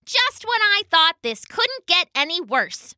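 A woman's voice: very high-pitched, very animated, measured pace, pushed and bright, no room sound, clean studio sound, voice pushed up, exaggerated voice. A woman voicing a fussy, melodramatic cheetah that snaps and whines in a storybook reading. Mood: whiny. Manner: snappy, whiny, melodramatic. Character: shrill fussy cheetah, dramatic and whiny.

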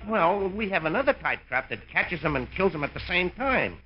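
An actor playing a nervous, stammering store clerk who barely keeps calm, in a 1950s radio comedy. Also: male voice, sits high, normal speed. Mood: anxious, polite. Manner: stammering, nervous, flustered hesitations. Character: jittery, long-suffering male store clerk, barely keeping calm. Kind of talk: 1950s old time radio comedy acting. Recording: very noisy, muffled old radio recording.

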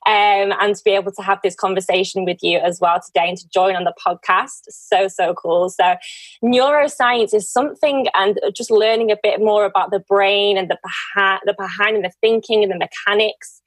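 A woman's voice: mid-range voice, steady tone, moderate pace, dry recording. A woman hosting a podcast in a casual, conversational way, effusive and encouraging. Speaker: podcast host.

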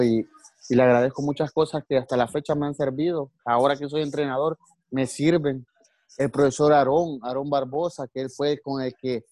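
A man's voice: medium pitch, mellow, no echo. A man from El Salvador with a Salvadoran accent, a martial arts coach telling his story earnestly in an interview, often restarting his sentences. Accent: Salvadoran Spanish. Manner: earnest, many restarts and religious asides.

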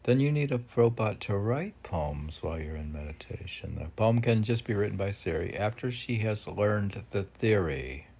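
A man with a gravelly, husky voice reading his own poems, with halting, self-deprecating commentary in which he talks back to himself. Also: on the low side, lively intonation, a little mellow. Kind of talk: poem reading mixed with rambling self-commentary.

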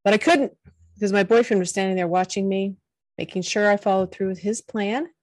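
A middle-aged woman, a podcast guest, telling her personal story in a soft, earnest voice. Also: mid-range voice, fairly quick, dry recording, studio quality. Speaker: podcast guest, confidence coach.

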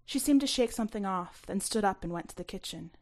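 A woman's voice: mid-range voice, lively intonation, quick pace. A woman reading her own short story aloud as scripted first-person narration.

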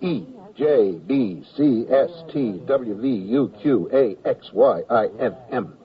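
A man's voice: mid-range voice, animated, slow pace. An actor playing a reasonable, exasperated male war correspondent in a radio comedy drama, wry and conversational. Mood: cooperative, defensive. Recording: dull radio recording, slightly distant.

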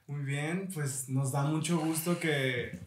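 A man's voice: on the high side, takes it slowly, a little hiss or hum. A man hosting a podcast, who talks in a friendly, conversational way with casual fillers. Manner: friendly, conversational, uses fillers like "pues" and "este". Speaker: podcast host. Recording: roomy, echoey room.

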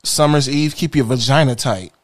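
A man's voice: mid-range voice, moderate pace. A man hosting a podcast, talking in a brash, profane way, laughing often and repeating "you know what I'm saying.". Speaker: podcast host. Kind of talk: casual crude podcast banter.

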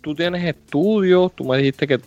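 A man's voice: a little high, moderate pace, darkish tone, dry recording. A man hosting a podcast, asking questions in a loose, meandering way full of fillers. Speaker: podcast host. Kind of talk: casual podcast interview. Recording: slightly muffled, faint music behind.

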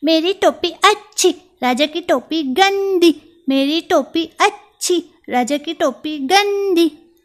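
Lady doing a squeaky cocky little mouse guy, storybook, bossy sing-song threats, jumps around high. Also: animated, takes it slowly, fairly bright tone, exaggerated voice. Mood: boastful. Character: boastful little male mouse, quick-tempered.

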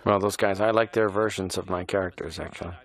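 A middle-aged actor and writer chatting playfully on a film commentary. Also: male voice, deep voice, fairly even intonation, fast. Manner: joking, playful, breaks into voices.

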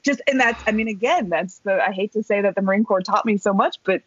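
A woman in her thirties telling a story as a podcast guest, talking in a casual, animated way with lots of fillers and the odd swear word. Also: mid-range voice, fast, husky, dry recording. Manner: animated, chatty, many fillers and swear words. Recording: dull dry podcast recording.

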